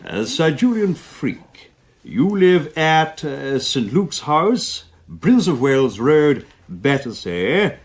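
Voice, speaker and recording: An actor playing a formal, probing coroner with an English accent, questioning witnesses deliberately in a radio drama. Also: male voice, fairly high pitch, sing-song, exaggerated voice. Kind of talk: radio drama courtroom acting.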